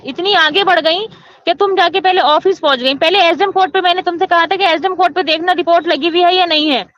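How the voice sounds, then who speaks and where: Shrill, scolding woman on a phone call. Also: fast talker, fairly bright tone. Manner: scolding, insistent, talks over the other. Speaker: agent handling housing forms. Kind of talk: heated phone argument.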